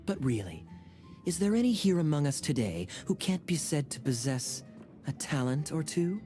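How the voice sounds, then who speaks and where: An actor voicing a suave, teasing young knight captain in a video game, with a smooth, wry, theatrical delivery. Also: male voice, on the high side, very animated, moderate pace, mellow, soft background music. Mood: amused.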